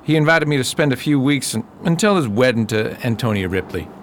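A man's voice: medium pitch, lively intonation, fairly quick, slight background noise, natural voice. A man playing a plain-spoken, skeptical investigator in a radio mystery, dry and level-headed. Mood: friendly, matter-of-fact. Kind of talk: radio mystery drama acting.

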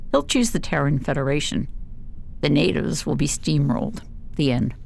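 Woman acting a low-voiced, weary woman scientist. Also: lively intonation, moderate pace, vocal fry, a little mellow, slight background noise, full character voice. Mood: bitter, sardonic. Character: principled, weary, pessimistic woman scientist at an alien colony. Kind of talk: science fiction radio drama acting.

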